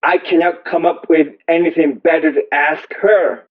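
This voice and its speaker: A man reading a first-person story aloud as scripted narration, in slow, deliberate, measured phrases. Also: a little high, steady tone. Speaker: audiobook reader. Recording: thin, phone-like narrow-band audio.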